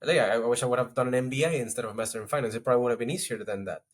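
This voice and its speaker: A man speaking fast in a relaxed interview, circling back on his thoughts with many restarts and filler words. His voice sits at a middle pitch and he sounds like a guest chatting into a podcast mic. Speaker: podcast guest, strategy executive. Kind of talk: casual interview talk.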